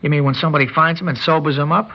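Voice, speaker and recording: A man's voice: fairly high pitch, steady tone, rapid-fire, husky. An actor playing a cocky young small-time gunman in a radio drama, with brusque, slangy tough-guy talk. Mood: defensive. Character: cocky, uneducated young male small-time stickup man. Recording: dull old radio recording.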